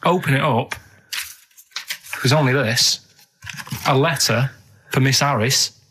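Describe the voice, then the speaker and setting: A man's voice: mid-range voice, takes it slowly, sharp tone, husky, no echo, rough recording. Rambling, matter-of-fact Mancunian man. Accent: Northern English, Manchester. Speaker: radio producer and co-host. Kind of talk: casual radio chat. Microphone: radio studio microphone.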